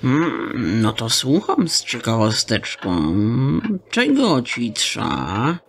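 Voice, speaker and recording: An actor playing a sly, haggling fence shopkeeper who talks in folksy, mangled slang. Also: male voice, mid-range voice, wildly swooping pitch, extremely slow, fairly bright tone, a little hiss or hum, exaggerated voice. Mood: scheming. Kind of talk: radio drama acting.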